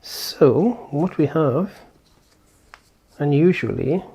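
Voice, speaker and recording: A man's voice: fairly high pitch, very slow, mellow, husky. A man giving a casual, unscripted product unboxing and setup walkthrough, thinking aloud as he goes. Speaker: video host.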